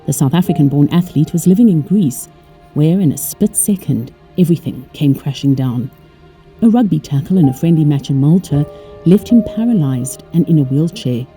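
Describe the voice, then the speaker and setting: A narrator delivers a scripted documentary introduction evenly. The tone is very dark and mellow, with faint music behind. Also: medium pitch, animated, normal speed.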